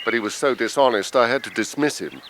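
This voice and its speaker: A man's voice: medium pitch, fast, close to an everyday voice. An actor playing a forthright, upright farmer and father in a children's audio drama. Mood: indignant. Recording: faintly noisy cassette transfer.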